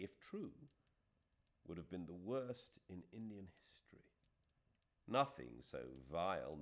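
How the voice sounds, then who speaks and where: A man with a British accent reading literary fiction as an audiobook narrator, with dramatic, deliberate phrasing. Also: low-pitched. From Britain.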